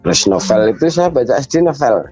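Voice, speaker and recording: A man's voice: mid-range voice, very animated, a little fast. A man chatting casually and reminiscing as a podcast guest. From Lamongan, East Java, Indonesia. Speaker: podcast guest, literacy activist. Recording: dull lo-fi audio with background music.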